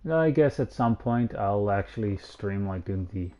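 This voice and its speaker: A man's voice: fairly low pitch, expressive, a little slow, dark tone, slight background noise. A man streaming a video game, giving casual play-by-play commentary on what he does. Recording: game music behind the voice. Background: video game music.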